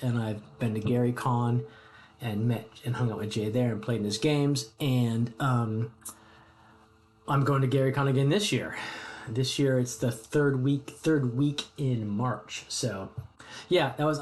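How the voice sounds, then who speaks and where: An American man chatting casually with his live stream viewers from his home hobby room, rambling and friendly with many restarts. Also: mid-range voice. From United States. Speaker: streamer host.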